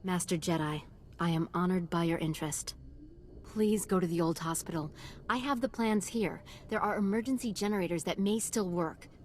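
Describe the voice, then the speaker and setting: Game role, actress: devoted female doctor, solemn and earnest, on the lower side, noisy setting. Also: moderate pace, lightly acted. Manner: grave, formal, earnest. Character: solemn, devoted woman doctor on a lifelong mission. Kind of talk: video game voice acting.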